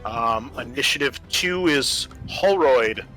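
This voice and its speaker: A man's voice: medium pitch, lively intonation, slow, sharp tone, rough recording. A man narrating a tabletop roleplaying game as game master, casual and descriptive in his delivery. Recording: music under the voice. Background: background music.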